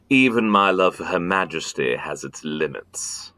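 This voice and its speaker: A man acting a stately, indolent older Victorian gentleman in an audio drama, with a pompous, dry manner. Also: deep voice, very animated, slow, exaggerated voice. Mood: weary, indignant. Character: Mycroft Holmes, stately, indolent older brother of the detective.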